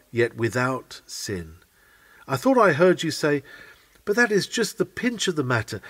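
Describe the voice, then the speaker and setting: A man's voice: mid-range voice, no echo. A man reading a sermon aloud in an earnest, oratorical manner. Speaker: sermon reader.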